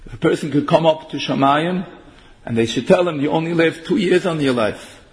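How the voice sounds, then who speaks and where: A man's voice: fairly high pitch, fairly quick. A man giving a sermon, mixing in Hebrew terms and half-chanting verses. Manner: English mixed with Yiddish and Hebrew terms, chanting some verses. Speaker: rabbi giving a Torah talk. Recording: long hall reverb.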